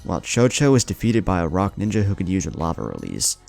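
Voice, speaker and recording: A man with a very low, creaky voice delivers dramatic story narration. His delivery swings widely in pitch, and music plays underneath. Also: wildly swooping pitch, fast talker, darkish tone. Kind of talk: dramatic scripted narration of fan fiction.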